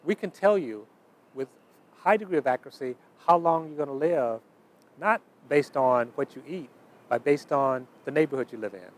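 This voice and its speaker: A man with a mid-pitched voice talks as an interview subject, explaining ideas with emphasis. He builds his points by repeating phrases in measured lists. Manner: emphatic, uses repetition in lists. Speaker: interviewed speaker. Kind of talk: explanatory talk in a documentary interview.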